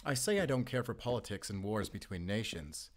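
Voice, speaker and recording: A man's voice: fairly low pitch, lively intonation, fairly quick, natural voice. A man playing a wry, confident wolf hunter in an audio roleplay, talking in a teasing, conversational way to a companion. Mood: playful, teasing. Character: wry, playful male German wolf hunter, confident, protective. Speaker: voice actor. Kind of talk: cinematic audio roleplay acting.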